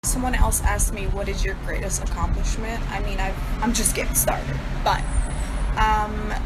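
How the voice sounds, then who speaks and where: A woman's voice: mid-range voice, very noisy. A woman from New York, a native Serbo-Croatian speaker, answering fan questions in a casual, blunt and profane vlog recorded on her phone. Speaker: social media personality.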